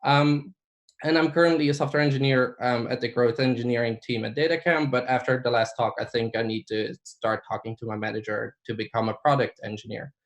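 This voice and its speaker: A man's voice: mid-range voice, steady tone, a little fast. A man from Belgium, a Dutch native speaker, giving a conference talk in a casual way with many ums and likes.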